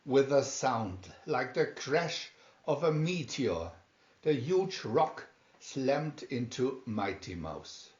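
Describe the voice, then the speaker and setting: A man reading a children's adventure story aloud as scripted narration, with dramatic, deliberate, emphatic phrasing. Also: medium pitch, big pitch swings, darkish tone. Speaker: reader of children's picture booklets. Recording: dull, echoey home recording.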